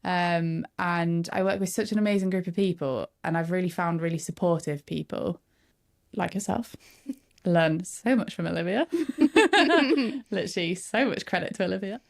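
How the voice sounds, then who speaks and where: A woman's voice: on the low side, moderate pace, vocal fry. A woman, chatting casually as a guest and laughing often. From northern England. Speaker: photographer guest. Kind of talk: casual podcast interview.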